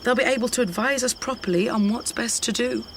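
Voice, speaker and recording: Actress as a weary British woman, gravelly edge. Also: fairly high pitch, a little fast, natural voice. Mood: firm, weary. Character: weary, pitying middle-aged British woman, candid partner. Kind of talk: naturalistic radio drama acting. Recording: night insects and outdoor ambience noise.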